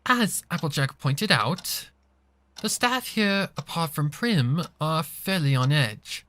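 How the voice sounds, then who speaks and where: A man's voice: on the high side, expressive, slow, a bit bright, no room sound. A man voicing a refined female pony who explains things patiently in polite, formal phrasing. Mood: grave, explaining.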